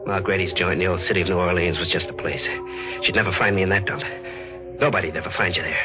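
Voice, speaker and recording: A man acting a tough, frightened convict on the run in 1940s radio style. His talk is slangy and breaks into stammers when rattled. The recording is dull and old, with music loud behind him at times. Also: sits low, steady tone, fast talker, close to an everyday voice. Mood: tense narration. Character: tough, frightened male escaped convict narrating his nightmare.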